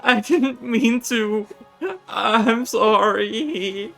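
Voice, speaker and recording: A woman's voice: fairly high pitch, extremely slow, creaky, fairly bright tone, faint music behind, heavily acted. A woman voicing a timid, guilt-ridden young male monster student who stammers and apologises in a game. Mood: guilty, reluctant. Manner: stammering, apologetic, easily flustered.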